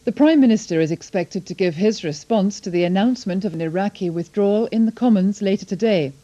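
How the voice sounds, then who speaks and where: A woman reads prepared news copy. Her voice is mellow and medium in pitch, with a soft, slightly dull broadcast sound. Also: brisk. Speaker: news reader. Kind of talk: scripted news reading. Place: television news studio.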